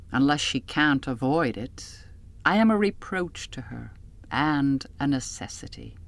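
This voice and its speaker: Low-voiced woman narrating a literary audiobook. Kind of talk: scripted literary narration in first person.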